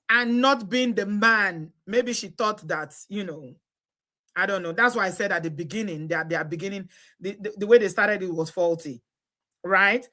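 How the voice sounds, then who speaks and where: A woman's voice: on the high side, pushed and bright. A Nigerian woman hosting a live stream, chatty and exclamatory, often breaking into laughter. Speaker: host. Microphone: live stream setup.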